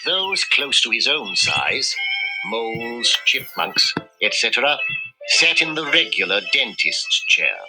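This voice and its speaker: A man reading a children's story in an expressive, measured storytelling manner. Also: mid-range voice, rough recording. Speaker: storybook reader. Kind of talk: scripted children's story narration. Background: music.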